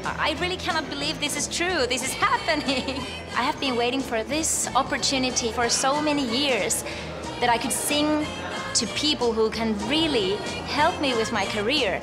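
A woman in her twenties from Finland with a Finnish accent, chatting excitedly and giggling as a talent show contestant. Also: high-pitched, moderate pace, loud music behind the voice. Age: 20s. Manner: giggly, excited, breaks into laughs. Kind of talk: casual interview and audition chat. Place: large hall with judges' desk.

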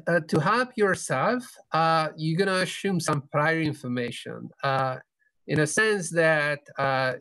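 A middle-aged man giving an explanatory conference lecture over a video call, pausing often mid-sentence. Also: a little high, fairly bright tone, dry recording. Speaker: keynote speaker, researcher. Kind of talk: academic conference lecture with slides. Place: indoor room, video call.

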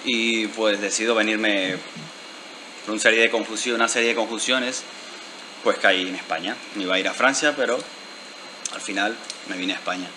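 A man's voice: lowish voice, fairly even intonation, moderate pace, noisy background. A man, the guest in an interview, speaking thoughtfully with hesitant restarts. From Venezuela. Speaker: guest artist. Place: lounge bar indoors. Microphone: handheld mic.

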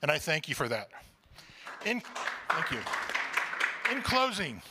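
A man in his fifties giving a heartfelt, halting acceptance speech on stage into a handheld microphone. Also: a little high, sing-song, a loud crowd, very noisy. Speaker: award recipient speaking on stage. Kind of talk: public speech. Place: conference stage in an auditorium.

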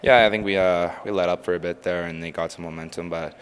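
Quick, low-pitched young man. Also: steady tone, smooth. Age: young adult. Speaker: ice hockey player. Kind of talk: casual postgame interview answers. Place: indoors before a sponsor backdrop at an arena. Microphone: handheld microphone held by interviewer. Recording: faint ambient background noise.

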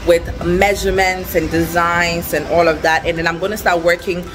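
A young woman vlogs in a casual, excited way, talking straight to her viewers. Her voice sits on the low side for a woman. Music plays clearly behind her as she speaks into a handheld camera. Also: moderate pace, poor audio. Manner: excited, upbeat. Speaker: vlogger and small business owner. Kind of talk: casual vlog chat to viewers. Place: outdoor storefront and bare empty shop room.

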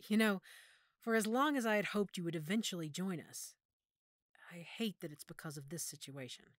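A woman playing a motherly, firm female werewolf pack leader in an audio drama, measured and authoritative. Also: on the low side, dry recording, studio quality, lightly acted. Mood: warm, resolved.

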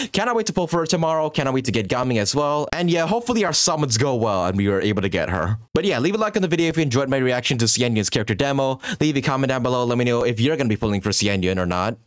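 A young man giving casual, excitable reaction commentary as a streamer, slangy and quick to swear. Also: medium pitch, no room sound, studio quality. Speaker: streamer reacting to a game trailer.

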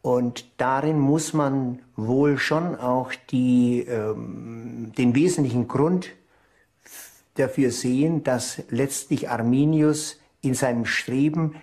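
Deliberate male expert, medium pitch. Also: darkish tone. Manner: hesitant, deliberate, repeats words for emphasis. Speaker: history expert interviewed for a documentary. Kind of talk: explanatory interview talk.

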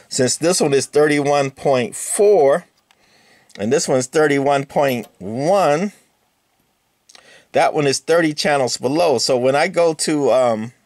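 Relaxed man explaining radio parts. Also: mid-range voice, no echo. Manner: relaxed, explanatory, many fillers, talks to the parts. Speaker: radio repair hobbyist host. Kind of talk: casual hands-on equipment walkthrough. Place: workbench, indoors.

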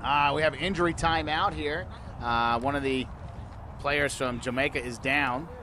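A man calling a rugby match live, his voice sitting a touch high and jumping up when the action picks up. He explains the rules patiently between plays. Faint outdoor hum sits behind him. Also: unhurried. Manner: explanatory, rises excitedly on big plays. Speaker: play-by-play commentator. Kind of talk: live sports commentary. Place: outdoor sports field.

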